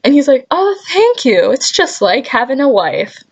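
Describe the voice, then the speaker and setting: A young adult woman telling casual, chatty stories in an animated, exasperated way, with sarcastic asides and mild swearing. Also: high voice, moderate pace. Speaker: storytelling vlogger. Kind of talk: casual anecdote vlog, chatty.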